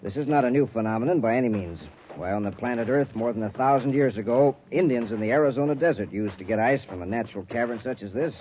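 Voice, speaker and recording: An actor playing an earnest, excitable scientist in a 1950s American radio serial, emphatic and explanatory, with a husky voice. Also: male voice, medium pitch, quick pace, hardly any pauses, slight background noise, lightly acted. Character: earnest, excitable scientist professor, adult man. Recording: dull 1950s radio recording.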